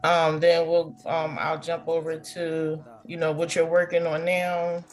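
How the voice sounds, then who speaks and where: Low-voiced woman hosting a casual interview. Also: completely flat delivery, a little slow. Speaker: show host and interviewer.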